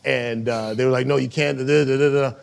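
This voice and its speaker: A man with an American accent, co-hosting a casual chat and telling stories in a lively way, acting out voices and laughing as he goes. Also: mid-range voice, fast talker, creaky. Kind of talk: casual chat, animated storytelling.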